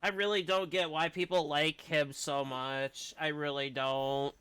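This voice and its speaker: A man streams a game with offhand, opinionated commentary. His voice is a bit high, bright and very clean, in a casual conversational style. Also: little pitch movement, a little slow, clear, resonant tone, dry recording. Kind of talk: casual live gameplay commentary.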